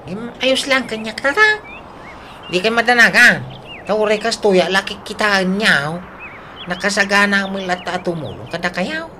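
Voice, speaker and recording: A woman's voice: mid-range voice, moderate pace, bright, forceful tone, a little hiss or hum, close to an everyday voice. A woman voicing an earnest, good-hearted, hardworking young grown son in a comic Ilocano radio drama. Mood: earnest, cheerful.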